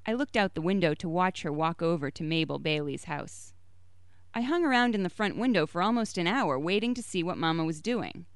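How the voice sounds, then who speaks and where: Smooth-voiced woman reading a short story. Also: lowish voice, lively intonation, brisk, no echo, studio quality. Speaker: story reader.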